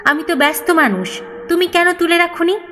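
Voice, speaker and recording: Woman acting a stern scolding father-in-law, pitched up. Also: expressive, fairly quick, sharp tone, heavily acted. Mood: blaming. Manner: barking rhetorical reproaches. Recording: long reverb, background music.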